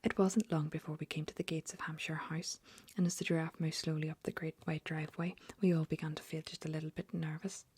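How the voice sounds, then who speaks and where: A woman narrates a storybook entirely in a breathy whisper. Her storytelling stays soft and even, with a slightly low pitch. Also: a little fast, no echo.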